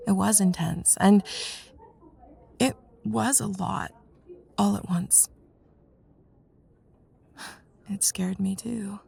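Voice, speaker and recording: A woman playing a young student in love with her best friend, in an intimate ASMR roleplay, speaking softly and hesitantly, often near a whisper. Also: medium pitch, steady tone, very slow, long pauses, exaggerated voice. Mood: earnest, frustrated. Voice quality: soft breathy, husky, often near whisper. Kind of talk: intimate ASMR roleplay, one side of a dialogue.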